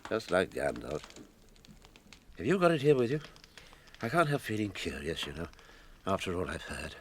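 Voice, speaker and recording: Old british hobbit fella, chatty n eccentric, low voice that swoops about, radio play, bit noisy. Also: male voice, wildly swooping pitch, normal speed, exaggerated voice. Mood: wheedling. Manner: chatty, old-fashioned, exclamatory. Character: elderly hobbit man, eccentric, chatty, possessive. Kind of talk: radio drama acting.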